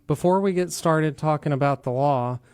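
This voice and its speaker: A man's voice: fairly high pitch, normal speed, a little mellow, smooth, no room sound. An American man hosting a talk radio show, explaining things to listeners. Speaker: radio show host, attorney.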